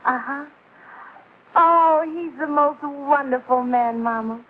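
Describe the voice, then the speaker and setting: Casting: starry-eyed young fiancée, eager, high lilting voice, thin vintage sound. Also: female voice, takes it slowly, a little hiss or hum. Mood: gushing. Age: young adult. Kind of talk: 1950s American sitcom acting. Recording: tinny vintage television soundtrack.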